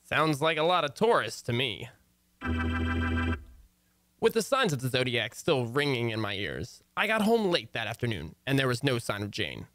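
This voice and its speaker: A man's voice: medium pitch, big pitch swings, moderate pace, pushed and bright. A man playing an exasperated, sardonic husband who narrates his own marital troubles in an old-time radio comedy. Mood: dry, unconcerned.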